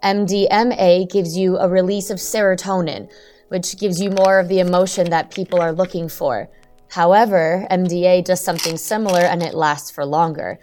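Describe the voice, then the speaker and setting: A woman's voice: fairly low pitch, steady tone, moderate pace, darkish tone, faint music behind, close to an everyday voice. A woman playing a worried, stern middle-aged mother, careful and reproving, in an audio drama. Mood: explaining, suspicious. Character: worried, stern mother who makes pills.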